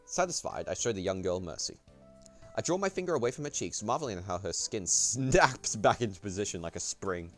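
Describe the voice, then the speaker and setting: A man's voice: on the low side, big pitch swings, a little fast, faint music behind. A man reading a visual novel aloud on a stream, playful, with offhand asides.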